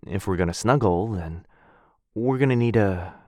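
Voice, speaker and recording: A man playing a shy, sweet, earnest dog-person roommate in an intimate boyfriend ASMR roleplay, speaking softly and hesitantly. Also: lowish voice, no room sound, clean studio sound, close to an everyday voice. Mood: flustered, defensive. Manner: soft, hesitant, halting, bashfully rambling.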